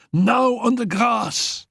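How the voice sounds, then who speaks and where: A man's voice: creaky, bright, forceful tone, breathy edge, dry recording, clean studio sound, heavily acted. A man voicing a coughing, feverish dying woman. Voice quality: coughing, breathless. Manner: high-pitched, laughing, pleading and commanding. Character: dying young woman, feverish, defiant, imperious. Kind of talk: audiobook character reading.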